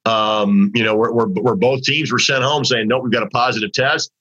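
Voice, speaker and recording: A man hosting a high school basketball talk segment, his voice a bit raspy from overuse, talking conversationally with frequent restarts. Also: steady tone, normal speed, pushed and bright. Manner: conversational, rambling with restarts and fillers. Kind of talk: casual sports talk. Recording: dull, lo-fi audio.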